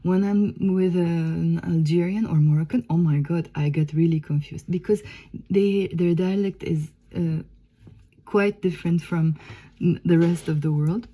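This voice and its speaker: A woman's voice: lowish voice, fairly even intonation, a little slow, dark tone. A Lebanese woman, a native Arabic speaker, explaining things as a teacher in a conversational, emphatic way, often checking in with "okay?".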